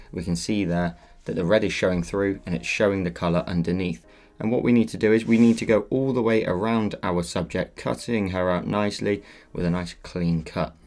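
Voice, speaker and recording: A man hosting a step-by-step drawing tutorial, patiently walking viewers through each move, his voice a little croaky. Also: deep voice, a little mellow. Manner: patient, instructive walkthrough. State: slightly croaky voice. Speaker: tutorial host.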